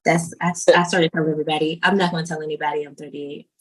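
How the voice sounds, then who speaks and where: A woman's voice: low-pitched, flat delivery, fast, a bit bright, low-quality recording. A woman in her late thirties hosting a casual podcast interview, chatty and self-deprecating, with lots of "um"s. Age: 30s. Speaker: podcast host.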